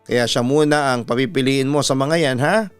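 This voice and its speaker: A man's voice: medium pitch, moderate pace, clear, resonant tone, no room sound, lightly acted. A man with a Filipino accent voicing a bossy, protective mother who nags her children in a dramatised story. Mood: instructing. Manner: nagging, bossy. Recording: music behind the voice.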